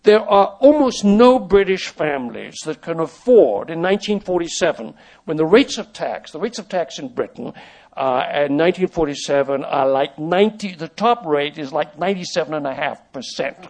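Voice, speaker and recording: An older man, a native French speaker, recalls his past in a meandering manner, stopping and restarting often. His voice sits a little high for a man. He speaks as a guest in a relaxed conversation. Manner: rambling, halting, with many restarts and fillers. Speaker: interview guest, former child actor. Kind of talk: conversational storytelling.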